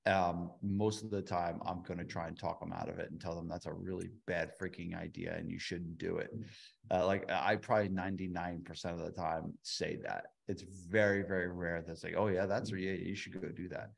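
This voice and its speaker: A man with a very low, gravelly voice hosts a podcast and talks in a flat, even tone. He sums up advice point by point in a relaxed, casual way. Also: moderate pace. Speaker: podcast host.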